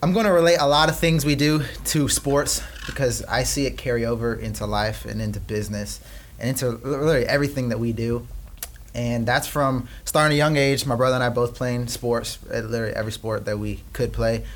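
A man's voice: medium pitch, steady tone, a little hiss or hum. A young man from Peru, a company co-founder, chatting as an interview guest and telling family stories with lots of fillers. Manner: chatty storyteller, quick bursts with frequent fillers. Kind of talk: casual interview chat.

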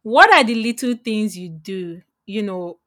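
A woman hosts a relaxed conversation and asks long, thoughtful questions. Her recording is dry and close. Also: mid-range voice, a little slow, dry recording. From Nigeria. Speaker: host. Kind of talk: casual chat.

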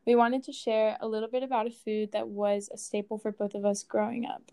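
A young woman from Colombia co-hosts a podcast and reads from a prepared script. Her voice sits a little high, with a warm, mellow tone and an upbeat delivery. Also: steady tone, brisk, clear, resonant tone. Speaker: podcast co-host.

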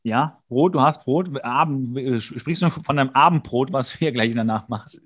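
A man's voice: mid-range voice, moderate pace. A man co-hosting a podcast, recapping an episode in casual chat with a jokey, rambling, wry manner. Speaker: podcast co-host.

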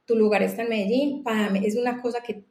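A woman with a Colombian accent talks openly and fast, often restarting her sentences. Her voice sits a little high and carries a clear echo from the room around her. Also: fairly even intonation, a little mellow. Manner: fast, earnest, many restarts. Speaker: guest, company founder. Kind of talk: casual conversation.

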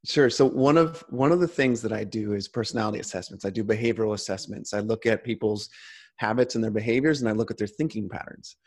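A man's voice: lowish voice, quick pace, a little mellow. A man in casual conversation, talking earnestly with frequent restarts.

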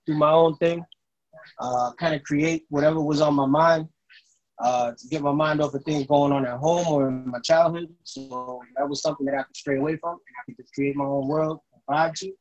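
Guest artist, man from New York, laid-back and conversational, a little higher-pitched. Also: moderate pace, low-quality recording. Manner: relaxed, conversational, with "you know what I'm saying" asides. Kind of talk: casual self-introduction.

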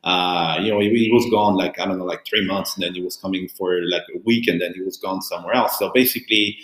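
A man's voice: deep voice, fairly even intonation, fast, a bit bright. A man whose native languages are French and Italian, speaking English and telling his life story in a candid, rambling way with many restarts and fillers. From born in Cameroon, raised in Italy and France. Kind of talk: casual personal storytelling. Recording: roomy echo.

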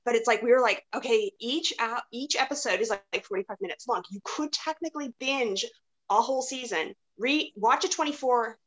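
A woman's voice: fairly high pitch, normal speed, poor audio. A woman chatting casually as a podcast panelist, rambling along and peppering her sentences with "like". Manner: rambling, frequent "like". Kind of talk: casual chat.

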